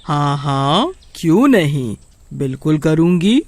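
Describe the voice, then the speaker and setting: A man voicing a kindly, helpful female witch in an animated horror story, with a slow, drawn-out delivery. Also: on the high side, no echo, exaggerated voice. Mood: earnest, helpful.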